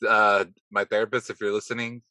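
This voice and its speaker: Deep-voiced, slightly hoarse man. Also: level tone, brisk, pushed and bright, no room sound. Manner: chatty, self-deprecating, rambling questions. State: slightly hoarse from heavy talking. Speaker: podcast host. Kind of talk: casual interview chat.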